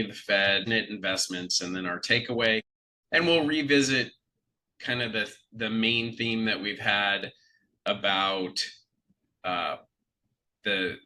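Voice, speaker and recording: A man with a nasal, congested voice from a cold, giving a measured market update in a live webinar, often restarting phrases. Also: fairly low pitch, sharp tone, rough recording. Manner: measured, explanatory, with frequent restarts. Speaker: financial advisor.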